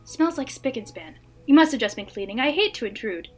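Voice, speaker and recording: Woman acting a high-voiced, coy 1950s housewife. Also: lively intonation, brisk, soft background music. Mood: coy, probing. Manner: coy, gracious, leading questions. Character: genteel, flirtatious 1950s housewife, smoothly forward. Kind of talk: audio drama acting.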